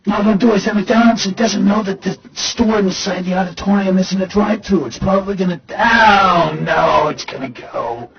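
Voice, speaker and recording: A man playing an excitable, fretting buddy in a comic radio drama. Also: sits high, moderate pace, vocal fry, few pauses, voice pushed up. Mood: alarmed. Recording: dull, muffled recording.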